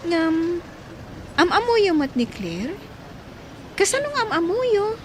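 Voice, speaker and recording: A woman's voice: very high voice, big pitch swings, takes it slowly, slight background noise, heavily acted. A woman with an Ilocano accent playing an anxious, pleading young village woman in a radio comedy drama. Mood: probing.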